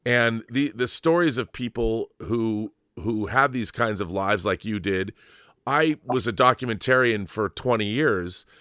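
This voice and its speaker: A man's voice: fairly low pitch, unhurried, sharp tone, no room sound, studio quality. A man chatting casually and enthusiastically as an interviewer, earnest and emphatic, often repeating words as he restarts his sentences.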